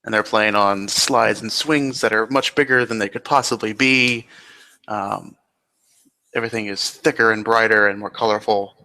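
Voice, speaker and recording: Man, lowish gravelly voice, forward bright tone, game master, improvised descriptive narration. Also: steady tone, normal speed. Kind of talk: improvised tabletop roleplay narration.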